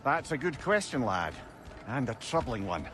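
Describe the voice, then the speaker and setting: Game sage, medium pitch, avuncular, reflective, explanatory, clear background noise. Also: male voice, very animated, normal speed, a bit bright. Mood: pondering. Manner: thoughtful, explanatory. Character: wise, avuncular male advisor to a warrior and his son. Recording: noisy game ambience behind voice.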